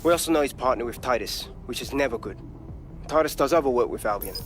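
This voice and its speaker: An actor playing a streetwise, irreverent resistance tech ally, blunt and casual in a video game cutscene. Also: male voice, mid-range voice, expressive, fast talker, faint music behind, natural voice. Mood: wry briefing.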